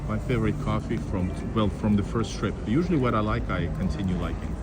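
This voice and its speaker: Man vlogger, Russian native speaker, low voice, walking and rambling to viewers, heavy street noise. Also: a little fast. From Latvia. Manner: chatty, rambling, addresses viewers as guys. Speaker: travel vlogger. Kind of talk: casual walking livestream. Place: outdoors on a city street. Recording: loud street noise behind.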